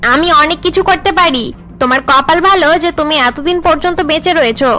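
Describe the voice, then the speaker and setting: A spiteful cartoon daughter-in-law, bossy and scolding. Her pitch is high, with music running behind the harsh words. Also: brisk, sharp tone. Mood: scornful, angry. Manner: scolding, domineering. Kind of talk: cartoon family melodrama acting.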